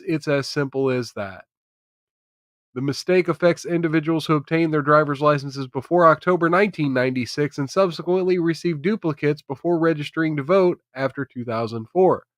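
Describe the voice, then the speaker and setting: A man's voice: a little high, dry recording, studio quality. A man hosting a live stream with casual, irreverent news commentary, sometimes reading articles aloud in an informal way.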